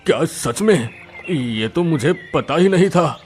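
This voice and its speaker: Anxious, humble blacksmith, slow-spoken. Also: male voice, a little high, lively intonation, darkish tone, faint music behind. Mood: puzzled, respectful. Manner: pleading, respectful. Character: anxious, humble poor village blacksmith, a man. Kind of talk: Hindi cartoon moral-story acting.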